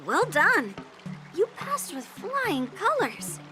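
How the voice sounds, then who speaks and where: A woman's voice: very high-pitched, wildly swooping pitch, takes it slowly, over music, full character voice. A woman voicing a proud, blunt young girl sword master in an anime-style game, with a smug manner. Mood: smug, pleased.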